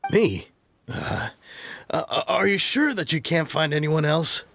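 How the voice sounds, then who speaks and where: A man's voice: fairly high pitch, very animated, slow, gravelly, a bit bright, a little hiss or hum, full character voice. An actor playing a nervous, evasive, cowardly man in a video game, hesitant and stammering. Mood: uneasy. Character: nervous, evasive, cowardly male party guest.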